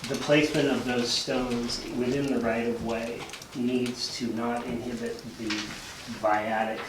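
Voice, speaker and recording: A man with a middling pitch argues formally and assertively in measured, lawyerly phrases. He is captured far from the microphone in a roomy space. Clear background noise sits under his voice. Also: little pitch movement. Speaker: attorney speaking for property owners. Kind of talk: formal argument at a public meeting. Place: indoor town board meeting room. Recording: roomy, distant mic, noisy hiss and clicks.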